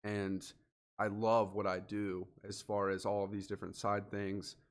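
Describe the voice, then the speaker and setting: Male podcast host, somewhat low pitch, sniffly from allergies, earnest and direct motivational delivery. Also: monotone, unhurried. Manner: earnest, direct, speaks to the listener. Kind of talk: solo motivational podcast monologue.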